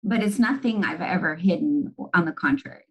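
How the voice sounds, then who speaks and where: Woman, middle-aged, from Argentina, executive guest, earnest, reflective, fairly low voice. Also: fairly quick, dull audio. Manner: reflective, earnest, many "you know" fillers. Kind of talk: candid interview answers.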